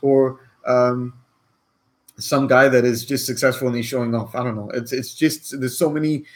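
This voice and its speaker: A man's voice: mid-range voice, steady tone, moderate pace. Guy in his 40s, rambling earnest coach talk to camera, keeps saying okay. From Cyprus. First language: Greek. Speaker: online business coach.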